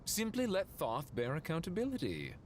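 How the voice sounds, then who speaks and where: An actor playing a sly, haughty royal saint in a video game, speaking in a grandiose, formal way. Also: male voice, fairly high pitch, huge pitch swings, moderate pace, slight background noise. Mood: smug. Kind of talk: theatrical video game acting. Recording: faint game sound behind voice.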